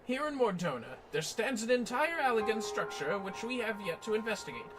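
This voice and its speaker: Man putting on a pompous, lively fantasy scholar. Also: sits high, music in the background, voice pushed up. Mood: solemn, expository. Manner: pompous, deliberate, ornate diction. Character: learned, formal archaeologist leading an expedition, grandly wordy. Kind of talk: dramatic reading of fantasy game dialogue.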